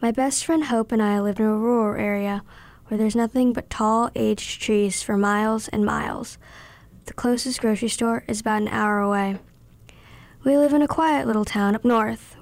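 A woman's voice: a little high, gravelly, a little mellow, no room sound. A young woman in her teens reading her own written story aloud as a scripted narration. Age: teenager.

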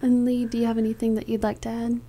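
Even, mellow-voiced female interviewer. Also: a little high, fast. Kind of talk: gentle interview questions.

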